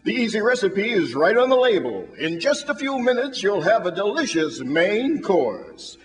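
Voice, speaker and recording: An American man announcing and selling in an upbeat, clearly enunciated 1940s radio commercial style. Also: sits high, big pitch swings, moderate pace. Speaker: radio announcer and sponsor pitchman. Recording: old radio broadcast with music.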